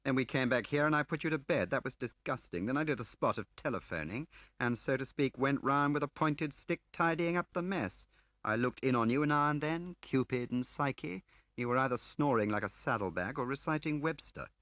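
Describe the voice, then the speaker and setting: A man voicing an urbane, flippant gentleman spy in an audiobook, with a droll upper-class English manner. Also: mid-range voice, animated, moderate pace, no room sound, pitched above the performer's own voice, lightly acted. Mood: breezy. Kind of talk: audiobook character acting.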